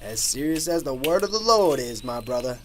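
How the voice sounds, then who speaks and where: A man's voice: mid-range voice, big pitch swings, normal speed, a little hiss or hum, natural voice. A man playing a cryptic, pious minister in an amateur radio drama, preachy and theatrical. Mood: sanctimonious, cryptic. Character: cryptic, pious backwoods male minister and guide.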